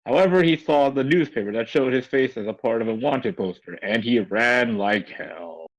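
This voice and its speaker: A man giving a casual comedic reading on a livestream, halting and stumbling over words. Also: mid-range voice, poor audio. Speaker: co-reader on a livestream.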